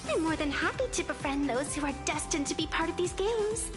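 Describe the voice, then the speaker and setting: Very high-pitched mischievous game girl. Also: expressive, a little fast. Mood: smug, playful. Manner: playful, melodramatic. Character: mischievous, theatrical young anime girl trickster. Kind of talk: video game character dialogue. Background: game music.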